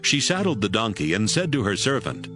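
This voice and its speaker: A man's voice: fairly low pitch, big pitch swings, a little fast, over music. A man narrating a dramatized scripture audiobook in a scripted storytelling style.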